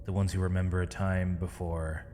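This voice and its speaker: Deep-voiced sardonic captive mage, acted by a man. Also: level tone, dark tone, natural voice. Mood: sardonic, probing. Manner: sardonic, measured, theatrical formal diction. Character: captured male mage, defiant, weary, eloquent, accused villain. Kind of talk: scripted ASMR audio roleplay. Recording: long reverb, clear background noise.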